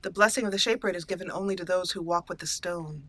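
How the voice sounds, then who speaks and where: A woman hosting a video game let's play, telling her character's story in a halting, musing way as she improvises. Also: on the low side, fairly bright tone. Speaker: gaming channel host.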